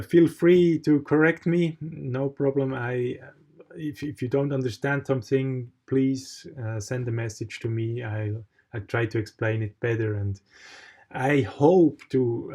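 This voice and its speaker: A man's voice: mid-range voice, fairly even intonation, a little slow, a little mellow. Swiss man giving a hesitant tutorial. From Switzerland. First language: not English. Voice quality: hesitant, frequent fillers and restarts. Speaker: online course mentor. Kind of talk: recorded tutorial with screen share.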